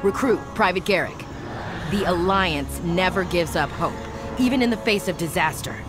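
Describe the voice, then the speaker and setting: A woman's voice: fairly low pitch, lively intonation, moderate pace, lightly acted. A woman playing a resolute army captain in a fantasy video game, firm and commanding. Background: dramatic music.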